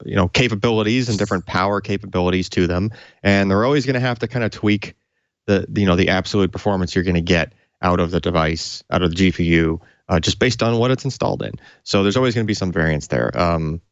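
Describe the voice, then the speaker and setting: A man with a low voice talks through tech matters as a podcast co-host. He explains in a loose, rambling fashion, and the recording is dry and a little dull. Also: fast, no room sound. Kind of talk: casual tech discussion.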